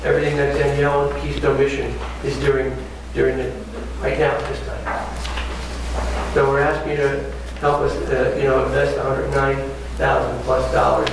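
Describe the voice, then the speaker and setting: A man with a raspy voice speaking as a mayor addressing a council meeting. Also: mid-range voice, flat delivery, moderate pace. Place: echoey council meeting room. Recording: echoey room, distant mic, clear noise.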